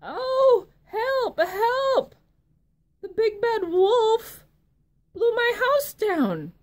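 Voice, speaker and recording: Frightened little storybook pigs squeal high and thin, as a woman puts them on. The voice jumps far above her usual speaking pitch and swoops widely, delivered slowly. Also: sing-song, heavily acted.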